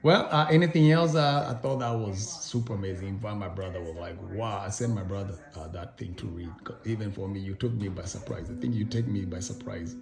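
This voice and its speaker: A middle-aged man conducting an informal interview, speaking haltingly and rephrasing his questions as he goes. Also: on the low side, creaky. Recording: echoey room with people in background.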